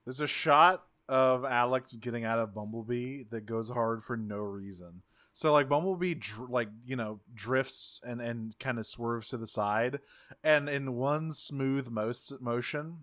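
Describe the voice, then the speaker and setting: Bright-toned man swearing through a recap. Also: medium pitch, a little slow. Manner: enthusiastic, swears casually, trails off. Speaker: podcast co-host. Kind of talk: casual recap chat.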